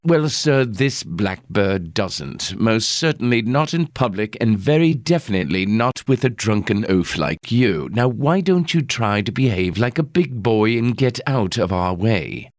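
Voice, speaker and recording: A man voicing a calm, poised female bodyguard who speaks quietly and with measured courtesy. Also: lowish voice, no room sound, studio quality, pitched above the performer's own voice. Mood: cool.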